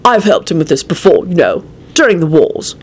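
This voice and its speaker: A woman's voice: fairly low pitch, huge pitch swings, brisk, darkish tone, slightly rough, exaggerated voice. A woman voicing an easygoing, breezily reassuring man in a story. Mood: mild reproach.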